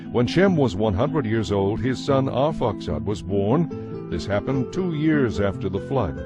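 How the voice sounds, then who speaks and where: A man giving a measured, solemn scripted narration of a dramatized Bible. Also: fairly low pitch. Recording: narration over a music bed.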